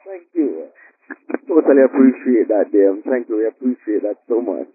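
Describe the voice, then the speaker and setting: A man's voice: medium pitch, expressive, normal speed, slightly rough, dry recording. A man in his late thirties from Jamaica with a Jamaican accent, chatting humbly as an interview guest, with many fillers. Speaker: guest drummer. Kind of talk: casual interview chat. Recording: tinny phone-line audio.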